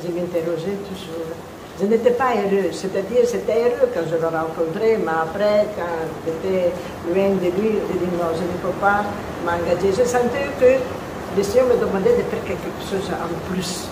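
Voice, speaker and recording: Italian nun, halting in echoey rain-soaked room. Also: female voice, mid-range voice, background noise. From Italy, Venice region. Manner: halting, searching for words, many restarts. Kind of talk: personal testimony in interview.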